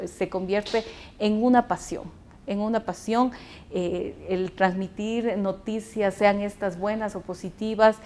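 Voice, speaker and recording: A woman, a radio broadcaster invited as a guest, speaking with enthusiasm and plenty of fillers and restarts. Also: mid-range voice. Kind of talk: panel discussion answers.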